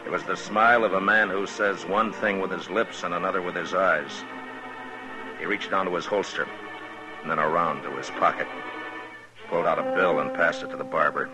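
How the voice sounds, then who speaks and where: An actor playing a stern, steady Old West marshal in a 1950s radio western, terse and authoritative. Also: male voice, deep voice, a little fast, natural voice, low-quality recording. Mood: grave narration. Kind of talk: 1950s radio western acting with scripted narration. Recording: old 1950s radio drama, music behind.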